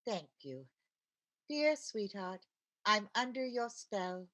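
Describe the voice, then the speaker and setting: An actress playing a wry, scatterbrained housewife with sardonic comic timing in an old-time radio sitcom. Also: female voice, medium pitch, big pitch swings, slow pace, a bit bright. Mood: playful, sentimental.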